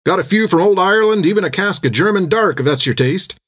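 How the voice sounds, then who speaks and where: A man's voice: sits high, expressive, fast, fairly bright tone, no echo, clean studio sound, voice pushed up. A man voicing a chatty, impatient bald tavern waiter with folksy old-time speech, in an audiobook. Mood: boastful.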